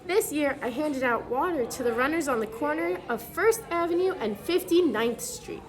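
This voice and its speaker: A woman reading a picture book aloud to young children, pausing to explain things simply as a preschool teacher would. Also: sits high, a bit bright.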